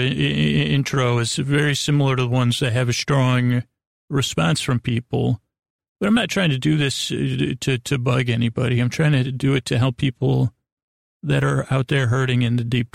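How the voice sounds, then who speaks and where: A man with a creaky, gravelly voice hosting a bedtime podcast in a meandering, halting, lulling monologue that repeats itself and trails off. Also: medium pitch, no echo, studio quality. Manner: lulling, soothing delivery. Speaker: podcast host. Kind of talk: rambling bedtime storytelling monologue.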